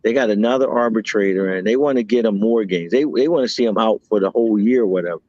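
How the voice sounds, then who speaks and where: A man co-hosting a podcast, chatting casually about football, talking in quick bursts and repeating himself. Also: on the low side, highs cut off, a little hiss or hum. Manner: animated, talks in bursts with repeats. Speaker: podcast co-host. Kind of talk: casual sports chat.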